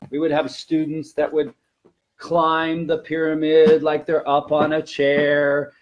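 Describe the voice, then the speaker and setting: A man's voice: on the high side, monotone, slow, dull audio. A man giving informal, emphatic instructional advice as a language teacher presenting a webinar.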